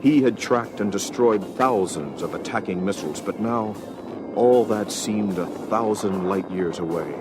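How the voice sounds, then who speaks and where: Deep-voiced male dramatic story narrator. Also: a little slow, over loud music. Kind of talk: dramatic scripted story narration for audio adventure record.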